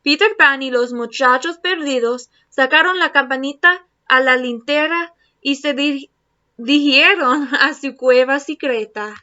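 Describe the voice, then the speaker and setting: A woman teacher reading a children's storybook aloud to students, expressively and deliberately. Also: high-pitched, sharp tone, lo-fi sound. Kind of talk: children's storybook read-aloud in Spanish and English.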